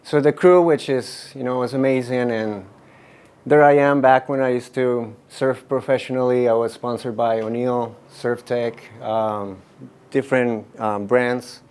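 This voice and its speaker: Male board shaper in his forties, from Colombia, mid-pitched voice, casual stage talk full of "um" and "like". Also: steady tone, a little slow, darkish tone, clear, resonant tone. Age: 40s. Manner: loose and rambling, leans on fillers like "um" and "like". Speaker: surfboard shaper giving a talk. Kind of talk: casual presentation to an audience.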